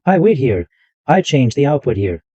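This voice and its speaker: A man hosting a screen-recorded software tutorial, narrating every click step by step in loose, repetitive wording. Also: medium pitch, very animated, normal speed, slightly rough, no echo. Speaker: tutorial host. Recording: dull lo-fi dry mic sound.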